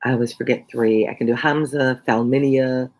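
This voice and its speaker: A middle-aged tutor with a gravelly, slightly husky voice reads a classic novel aloud during a live stream. Between passages the tutor chats with viewers in a loose, playful, self-deprecating way. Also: medium pitch, steady tone, moderate pace, a little mellow. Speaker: tutor hosting a live read-along. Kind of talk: reading a novel aloud, then chatting with viewers.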